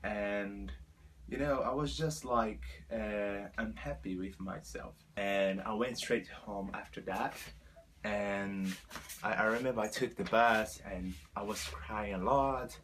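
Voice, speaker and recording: A Brazilian man in his twenties, a native Portuguese speaker, talks to camera in earnest, halting English with a Brazilian Portuguese accent. His voice sits on the low side, and he pads his sentences with fillers and restarts. A faint hum of ambient noise runs underneath. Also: fairly bright tone. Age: 20s. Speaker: vlogger. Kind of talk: personal storytelling to camera.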